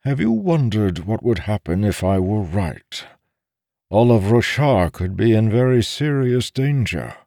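Man acting a grave, measured warrior, animated pitch. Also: dry recording, clean studio sound. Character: grave, stubborn male warrior, self-assured and musing.

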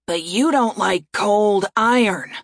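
A woman voicing a blunt, matter-of-fact young woman in an audiobook, calm and deadpan. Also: medium pitch, takes it slowly, no room sound, studio quality. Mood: calm warning. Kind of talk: audiobook character voice.

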